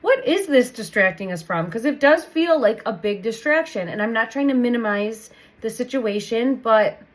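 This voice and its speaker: A woman hosting a casual tarot reading, chatty and meandering, repeating her phrases, and still recovering from a cold. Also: on the high side. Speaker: tarot reader host.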